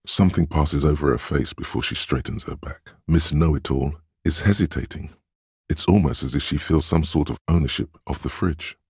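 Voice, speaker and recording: A man voicing a game's inner voice of empathy, a gentle, perceptive, sorrowful narrator who speaks in a measured, solemn, intimate way. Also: a bass voice, breathy edge, no room sound, clean studio sound, exaggerated voice. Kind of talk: scripted second-person game narration.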